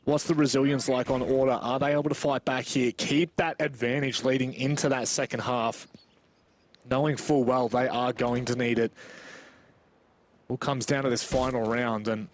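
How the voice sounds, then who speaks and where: A male esports commentator calls a live match play by play. His voice sits in clearly noisy audio, with gunfire and clicks from the game behind him. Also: medium pitch.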